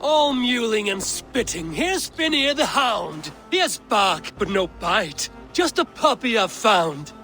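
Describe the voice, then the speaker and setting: An actor playing a confident, sardonic Viking raider and mentor in a video game, wry and assured. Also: male voice, high-pitched, sing-song, slow pace, few pauses, bright, forceful tone, soft background music, heavily acted. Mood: mocking, coaching. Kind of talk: dramatic video game acting.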